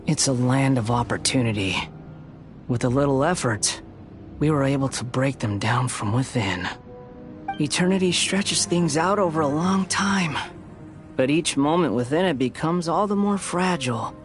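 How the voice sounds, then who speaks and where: A man's voice: medium pitch, close to an everyday voice. Man voicing a theatrical sneering game villain. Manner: taunting, grandiose. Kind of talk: video game voice acting. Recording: music under the voice.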